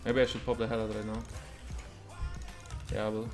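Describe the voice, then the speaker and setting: Low-pitched Polish-accented male streamer. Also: completely flat delivery, fairly quick, a little mellow, faint music behind. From Poland. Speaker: video game streamer. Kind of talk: casual live gameplay commentary.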